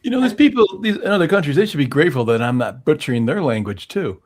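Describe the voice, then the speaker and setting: A man on a casual live-stream chat, cracking dry, deadpan jokes. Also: fairly high pitch, fast talker.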